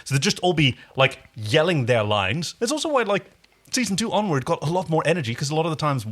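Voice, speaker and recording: A man co-hosting a podcast in casual, animated, opinionated chat. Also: fairly high pitch, fast talker. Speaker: podcast co-host.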